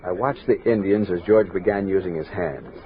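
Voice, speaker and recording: An actor playing a resolute, watchful army captain who narrates a western radio drama in measured, earnest tones. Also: male voice, deep voice, muffled recording, natural voice. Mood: grave, uneasy. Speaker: narrator and lead character. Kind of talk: 1960s western radio drama acting, first-person narration. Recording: noisy, band-limited 1960s radio recording.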